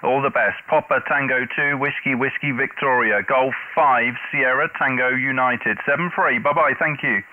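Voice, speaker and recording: A man's voice: medium pitch, moderate pace, few pauses, narrow, tinny audio, noisy background. A man making ham radio contacts on a headset mic, spelling out callsigns phonetically. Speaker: amateur radio operator, streamer. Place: small indoor room at home. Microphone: headset boom mic.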